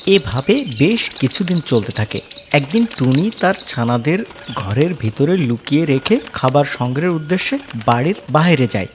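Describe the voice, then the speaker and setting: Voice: medium pitch, animated, moderate pace, background noise. A narrator reading a scripted children's story. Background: background music.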